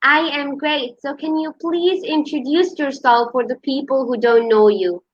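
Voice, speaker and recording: A woman's voice: high-pitched, measured pace. A woman hosting an interview, asking her guest polite, formal questions. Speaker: interview host. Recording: dull lo-fi audio.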